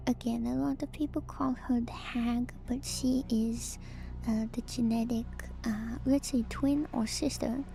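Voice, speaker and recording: Woman, soft ASMR whisper, mellow, slow, hesitant livestream commentary, close microphone. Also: fairly high pitch, creaky. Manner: hesitant, musing, unscripted. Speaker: livestreamer host. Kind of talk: whispered ASMR video game lore commentary.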